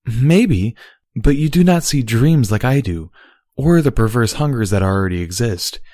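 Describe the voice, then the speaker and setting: A man voicing a female immortal pony princess, a formal and dutiful younger sister who argues in measured, stilted diction in a storybook reading. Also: medium pitch, expressive, warm tone, no room sound, studio quality, voice pushed up, close to an everyday voice. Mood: grave.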